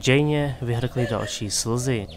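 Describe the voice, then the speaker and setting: A man giving scripted dramatic narration of a fantasy story as the narrator of an audio drama. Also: mid-range voice. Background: music.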